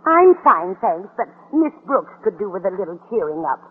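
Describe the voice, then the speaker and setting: A woman's voice: mid-range voice, sing-song, normal speed, slightly rough. An actress playing a sweet, scatterbrained older landlady in an old radio sitcom, kindly and innocently literal. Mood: innocently puzzled. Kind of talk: 1940s-50s radio sitcom acting. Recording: noisy old radio broadcast, phone-like band.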